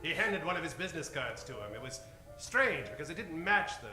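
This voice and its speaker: A man playing the narrator and sponsor's pitchman of an old-time radio show, telling the story in a folksy style. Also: very animated, brisk. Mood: storytelling. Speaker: narrator and announcer. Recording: roomy sound with music behind.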